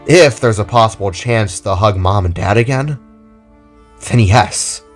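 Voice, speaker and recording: A man's voice: fairly low pitch, a little slow, soft background music. Easygoing little bro, teasing, soon to be a dad, man voicing him. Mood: eager. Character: younger brother, playful expectant father.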